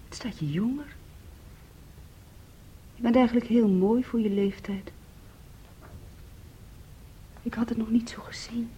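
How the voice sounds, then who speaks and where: Actress doing wounded intense young woman in an old radio drama, highish soft-edged voice, hushed, weary, muffled sound. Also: moderate pace, slight background noise, natural voice. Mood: tender, resigned. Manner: hushed, intimate, often dropping to a whisper. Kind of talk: Dutch radio play acting.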